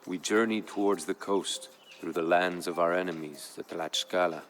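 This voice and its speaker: A man playing a proud, grave Aztec jaguar warrior who solemnly recounts his people's fall as a strategy game's campaign narrator, in a measured, dramatic way. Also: sits low, steady tone, fairly bright tone, a little hiss or hum. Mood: solemn storytelling. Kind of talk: solemn scripted storytelling narration for a strategy game.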